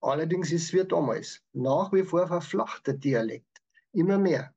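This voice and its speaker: A man's voice: a little high, lively intonation, normal speed, darkish tone, slightly rough, highs cut off. A man with a Bavarian accent, explaining things in prepared answers as an author being interviewed. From Bavaria, Germany. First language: Bavarian dialect.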